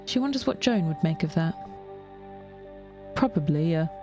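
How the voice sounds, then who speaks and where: A woman narrating scripted fiction in a measured way, with dry wit. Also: deep voice, animated, dark tone, soft background music.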